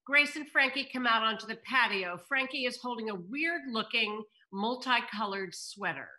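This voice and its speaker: A woman's voice: on the high side, normal speed, pushed and bright. A middle-aged woman hosting a table read over video call, reading stage directions and addressing viewers. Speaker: table read narrator and host.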